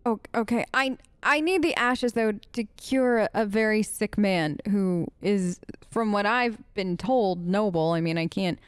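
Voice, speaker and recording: A woman's voice: mid-range voice, clean tone, no echo. A woman doing casual improvised role-play as a gamer, talking in a hesitant, conversational way close to the mic. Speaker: let's play gamer speaking as her character.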